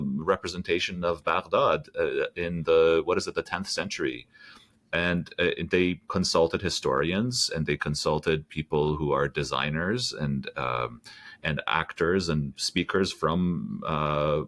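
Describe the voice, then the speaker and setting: A man with a very deep, low-pitched voice speaks as an interview guest. He thinks out loud, circling back with fillers and small restarts as he builds his point. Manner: thoughtful, hedging with fillers and restarts. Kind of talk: conversational interview.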